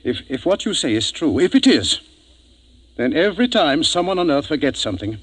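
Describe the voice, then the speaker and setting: An actor playing an earnest, thoughtful ship's doctor who speaks deliberately and emphatically in a 1950s radio drama. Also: male voice, fairly high pitch, big pitch swings, husky. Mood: earnest, amazed.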